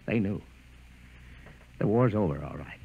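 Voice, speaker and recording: Grimly resigned scientist, halting dramatic delivery, slow, 1950s radio drama, faintly noisy old recording. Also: male voice, low-pitched, lively intonation, creaky, narrow, tinny audio, exaggerated voice. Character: doomed scientist crewman, grimly resigned to mankind's end.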